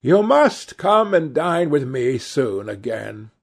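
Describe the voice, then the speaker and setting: A man's voice: mid-range voice, big pitch swings, takes it slowly, gravelly, pitched above the performer's own voice, exaggerated voice. A man voicing a fussy old society hostess. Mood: gushing. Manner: theatrical, fussy, exclamatory. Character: older woman, a chatty society hostess.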